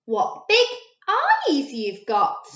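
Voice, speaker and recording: A woman voicing a naive young girl in a slow sing-song manner in a storybook. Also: sits high, wildly swooping pitch, a bit bright, pitched above the performer's own voice, full character voice. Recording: roomy, slightly dull recording.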